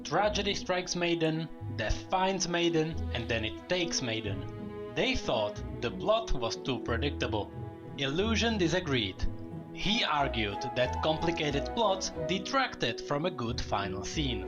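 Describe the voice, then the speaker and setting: A man's voice: fairly high pitch, very animated, unhurried. A man narrating a story in a dramatic storytelling style. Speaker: lore video narrator. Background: music.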